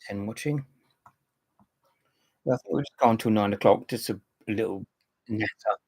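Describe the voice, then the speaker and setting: A man in his late fifties hosts a relaxed live stream, rambling from one topic to the next and picking up viewers' comments as they come in. His voice is slightly husky, and the sound is close and dry. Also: fairly low pitch, normal speed, no room sound. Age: 50s. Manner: rambling, chatty, reads and answers viewer comments. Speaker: livestream host. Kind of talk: casual live-stream chat.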